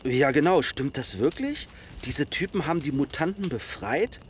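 A man's voice: on the high side, lively intonation, normal speed, a little hiss or hum, natural voice. An actor playing an astonished, welcoming male survivor in a German zombie audio drama. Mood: alarmed.

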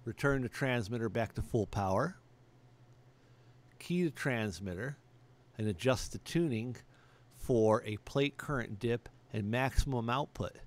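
A man's voice: medium pitch, measured pace. A middle-aged man giving a plain, matter-of-fact step-by-step demonstration as an amateur radio operator. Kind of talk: step-by-step instructional narration. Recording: clearly audible background noise.

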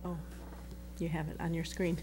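A middle-aged woman at a school board meeting, asking questions in a halting, restarting way into a desk microphone. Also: on the low side, level tone, noisy background. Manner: halting, restarts and trails off between thoughts.

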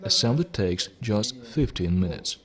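A middle-aged man giving formal, deliberate scripted instructional narration as a presenter of technical videos. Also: on the low side, expressive, a crowd in the background. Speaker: presenter of electronics kit videos.

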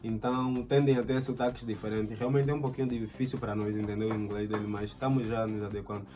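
A young man in his twenties with an Angolan Portuguese accent, the channel host, telling a story in relaxed casual chat full of slang and fillers. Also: lowish voice, quick pace, over music. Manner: relaxed, fills with "yeah" and "tipo", slang. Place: indoor room, flag backdrop.

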